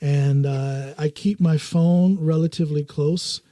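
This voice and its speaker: A man hosting a casual podcast, easygoing and quick to laugh, often restarting his sentences. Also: a little high, steady tone, dark tone, no room sound, studio quality. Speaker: podcast host.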